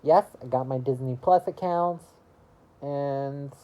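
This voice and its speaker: A woman in her early twenties chatting casually to camera about her year, rambling and halting, with lots of "you know" fillers. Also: a bass voice, mellow, rough recording. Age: early 20s. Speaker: vlogger.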